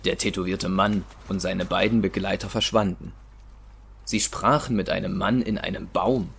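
Casting: male storyteller, lowish pitch with vocal fry, scripted audio drama narration over faint ambience. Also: fairly even intonation, moderate pace, a bit bright, slight background noise.

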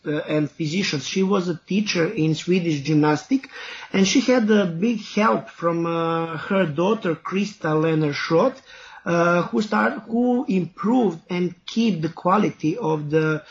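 A man with a Serbian accent, a guest therapy instructor, explaining in a halting way with many fillers. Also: sits high. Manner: halting, heavy filler words. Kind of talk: podcast interview. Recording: dull, muffled audio.

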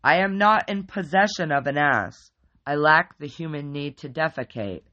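A man voicing a huge, formal male teddy bear demon with a booming, stiff way of speaking. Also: expressive, normal speed, pushed and bright. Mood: solemn booming. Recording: dull lo-fi recording.

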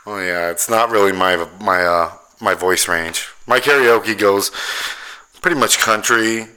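Man, low pitch, deep voice, bright tone, loose joking chat. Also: measured pace, clear, resonant tone. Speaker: podcast co-host. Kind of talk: casual chat.

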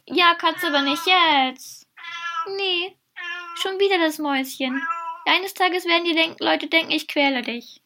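High-voiced playful woman, noisy background. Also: a little slow. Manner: playful, chatty, talks to her cat. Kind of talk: casual banter while reading with a friend.

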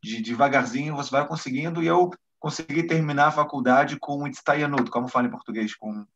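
A man's voice: on the high side, a little fast, a bit bright, a little hiss or hum. A man from Brazil, a guest in an interview, explaining things hesitantly with many restarts and fillers. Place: lives in Israel. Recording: muffled lo-fi stream audio.

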